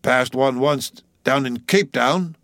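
A man's voice: expressive, normal speed, fairly bright tone, no echo, studio quality, lightly acted. A man voicing a sulky ship's cook of about ninety, muttering testily in dialect, in an audiobook story. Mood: sullen. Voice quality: shrill when raised. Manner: muttering, testy, dialect speech.

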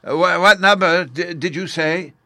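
A man's voice: medium pitch, sing-song, moderate pace, creaky, pushed and bright, no room sound, voice pushed up, exaggerated voice. A man voicing a polite, absent-minded old professor in a storybook, courteous, stammering and enthusiastic. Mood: flustered.

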